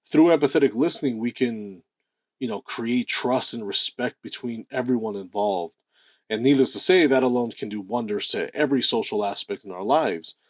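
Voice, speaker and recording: A man with a deep voice giving a motivational talk as a self-improvement host, animated, stressing key words and drawing out phrases. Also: moderate pace, no echo.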